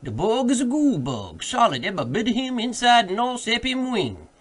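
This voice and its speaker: A man voicing an excitable servant who insists earnestly in thick, folksy dialect for a story reading. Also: extremely high pitch, normal speed, pitched above the performer's own voice, exaggerated voice. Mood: insistent. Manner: emphatic, folksy, dropped words.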